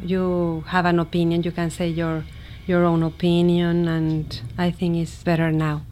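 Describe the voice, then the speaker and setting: A middle-aged woman from Mexico City with a Mexican accent, a native Spanish speaker telling her personal story in simple, halting learned English. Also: fairly low pitch, completely flat delivery, a little mellow, slight background noise. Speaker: interviewee, immigrant teacher. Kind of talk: personal story told in an interview.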